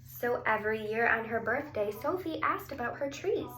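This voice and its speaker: A woman's voice: on the high side, lively intonation, moderate pace, bright, forceful tone. A woman reading a picture book aloud to young children, drawing out words and putting questions to her listeners. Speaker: story reader for young children. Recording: roomy echo, some background noise.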